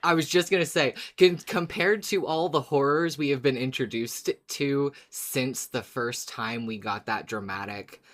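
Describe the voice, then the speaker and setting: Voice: mid-range voice, a little slow, few pauses. A podcast co-host who talks about a story in an emphatic, dramatic way, as a fan of it. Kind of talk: scripted recap, then animated fan discussion.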